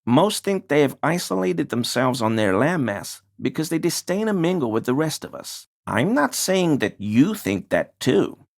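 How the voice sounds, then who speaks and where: An actor playing an obsequious, conspiracy-minded amateur researcher in a video game, deferential and wheedling while sounding pseudo-scholarly. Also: male voice, on the high side, sing-song, moderate pace, creaky, hardly any pauses. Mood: insinuating, flattering. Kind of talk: video game character dialogue.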